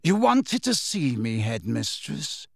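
A man voicing a cold, disdainful middle-aged male professor who speaks slowly and smoothly in an audiobook reading. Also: fairly high pitch, sing-song, dry recording, studio quality, exaggerated voice. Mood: cool.

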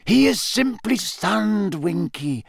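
Storybook old wizard headmaster, acted by a man: calm authority, raised pitch, measured questioning. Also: gravelly, heavily acted. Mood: calm command. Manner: measured, soft-spoken, commanding.

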